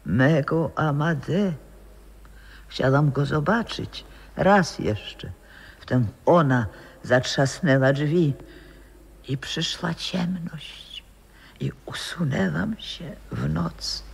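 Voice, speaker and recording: An actress playing a wistful old former opera singer in a 1960s radio drama, speaking lyrically and dramatically, sometimes dropping into whispers. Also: female voice, low voice, lively intonation, very slow, vocal fry, slightly rough, full character voice. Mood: mournful. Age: older. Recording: reverberant 1960s radio play recording.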